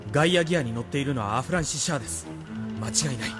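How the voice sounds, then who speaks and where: A brooding young officer played by a man with an obsessive edge, speaking in a mid-pitched voice. It is anime radio drama, with loud music behind the voice. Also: animated, very fast, lightly acted. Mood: insistent. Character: brooding young male military officer, obsessive rival pilot. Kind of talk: anime audio drama acting.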